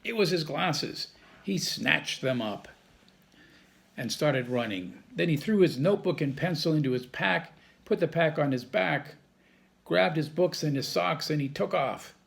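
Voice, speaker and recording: Guy reading a kids book, bouncy up-and-down delivery, gravelly texture. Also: on the high side, expressive, unhurried. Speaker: staff reader. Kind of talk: reading a children's book aloud.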